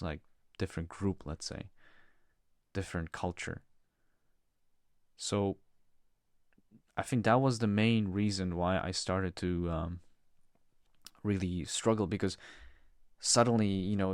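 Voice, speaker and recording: A man's voice: low voice, no room sound, studio quality. A young man hosting a podcast, talking casually and reflectively, hesitant with restarts and fillers. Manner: hesitant, repeats words, many fillers. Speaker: podcast co-host.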